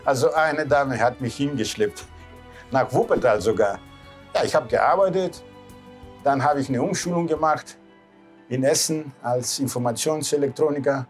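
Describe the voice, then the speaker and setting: A man's voice: medium pitch, moderate pace. A man in his sixties with a Greek accent reminisces casually in non-native speech with loose grammar, often adding short questioning tags. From Greece. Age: 60s. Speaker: cruise ship captain. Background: background music.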